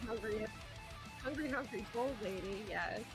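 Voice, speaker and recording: Lady co-hosting reactions, casual, pitch all over the place, music playing behind her. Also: expressive, unhurried. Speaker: co-host of reaction show. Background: music from trailers.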